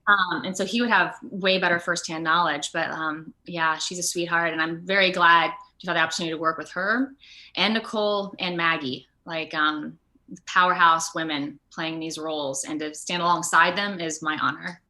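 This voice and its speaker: Female interview guest, lowish pitch, bright and forceful tone, animated conversational delivery. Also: normal speed, low-quality recording. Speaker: interview guest, voice and performance-capture actor. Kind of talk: casual video interview chat. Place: remote online video call.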